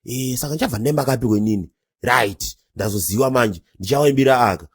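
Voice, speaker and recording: A man's voice: mid-range voice, fast talker, slightly rough, no room sound, studio quality, lightly acted. A man voicing a bossy, grumbling uncle on gate duty in a comedy cartoon, argumentative and exclaiming. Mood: speechifying.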